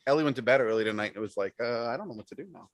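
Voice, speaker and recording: A man's voice: mid-range voice, rapid-fire, dry recording, clean studio sound. A man on a podcast panel, chatting casually in a teasing way. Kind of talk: casual group banter.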